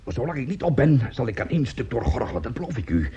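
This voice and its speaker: An actor playing a temperamental, vain male opera singer in a radio play, hoarse, haughty and theatrical. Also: medium pitch, big pitch swings, quick pace, dark tone, highs cut off, close to an everyday voice. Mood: pleading, proud.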